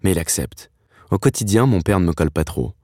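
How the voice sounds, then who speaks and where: A man in his thirties playing a dreamy, stubborn aspiring screenwriter who narrates his own story in a fiction podcast, with a husky voice. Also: low-pitched, lively intonation, fairly quick, warm tone, no echo, studio quality. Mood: resigned, determined. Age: 30s. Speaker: narrator and lead character.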